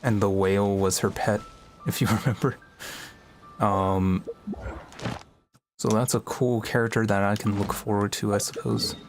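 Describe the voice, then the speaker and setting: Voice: sits low, steady tone, a little slow, long pauses. A game streamer chatting casually and musing aloud in a rambling, self-deprecating way during a livestream. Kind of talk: casual livestream gameplay chat. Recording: music playing behind the voice.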